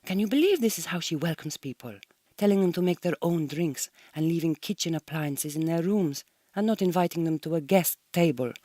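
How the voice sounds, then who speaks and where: A woman's voice: fairly low pitch, lively intonation, a little fast, dark tone, no echo. An actress playing a proud, homesick Hungarian migrant woman in a radio drama, speaking a diary in slightly stilted, formal English with a Hungarian accent. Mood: offended, disdainful. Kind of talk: recorded audio diary monologue in a radio drama.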